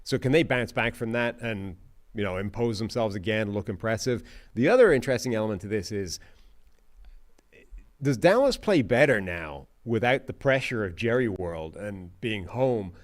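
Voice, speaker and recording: A man co-hosting a sports podcast, giving casual, emphatic football analysis with lots of "like" and "right?". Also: moderate pace. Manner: animated, emphatic, pitch jumping on key points; frequent fillers like "like" and "right?". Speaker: co-host.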